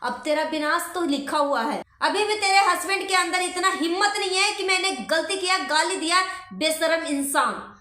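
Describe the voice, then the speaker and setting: A woman's voice: very high voice, normal speed, sharp tone. A woman vlogger delivering an impassioned, indignant rant straight to the listener, scolding and repeating phrases for emphasis. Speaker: vlogger commenting on a social media dispute. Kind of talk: impassioned direct-address rant. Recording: echoey room.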